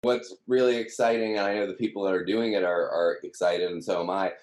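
A man's voice: deep voice, steady tone, brisk, a little mellow. A man explaining things conversationally as an interview guest. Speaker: company team member being interviewed. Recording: roomy, echoing sound.

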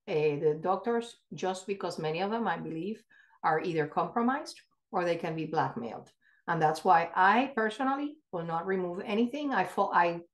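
A woman with a fairly low voice speaks as a podcast co-host. Her delivery is earnest and emphatic, unscripted, with halting pauses in the middle of sentences. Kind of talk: earnest unscripted advocacy talk.

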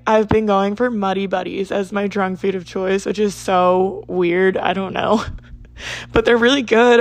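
A woman in her twenties from South Jersey, hosting a podcast in a rambling, chatty monologue, her voice hoarse and raspy. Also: medium pitch, no echo. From South Jersey, United States. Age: 20s. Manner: rambling, lots of "like" and asides. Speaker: podcast host.

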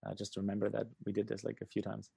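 Casting: man, reflective conversational interviewer, gravelly fry, dark relaxed tone. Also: lowish voice, rapid-fire, no echo, studio quality.

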